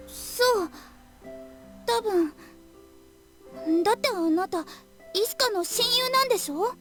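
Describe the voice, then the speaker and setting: A woman's voice: very high-pitched, expressive, moderate pace, a bit bright, soft background music, full character voice. A Japanese actress playing a shy, earnest teenage girl in a visual novel. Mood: hesitant, earnest.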